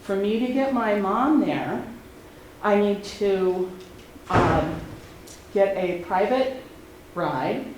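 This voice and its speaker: A middle-aged woman, speaking earnestly and haltingly at a public meeting. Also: medium pitch, animated. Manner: earnest, halting, many ums. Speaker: public commenter. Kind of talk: unscripted public comment. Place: community meeting hall. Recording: very echoey hall, faint noise.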